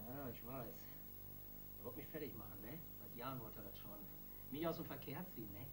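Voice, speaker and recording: An actor playing a defiant, sullen street gang boss under questioning in a radio crime play, with slangy speech. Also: male voice, medium pitch, fairly quick, heavy background noise, close to an everyday voice.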